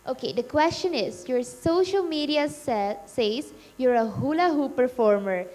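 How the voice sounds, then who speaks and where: A woman in her late teens with a high voice, talking at an unhurried pace. She introduces herself, then reads out fan questions, pausing and apologizing over the names. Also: expressive, darkish tone. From Suriname. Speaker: pageant contestant and question moderator.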